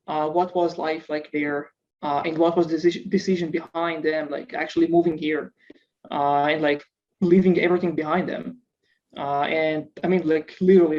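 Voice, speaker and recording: A man's voice: fairly high pitch, a little mellow, muffled recording, a little hiss or hum. A startup founder from Israel, a man, answering interview questions hesitantly, with many filler sounds. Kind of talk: casual interview over video call.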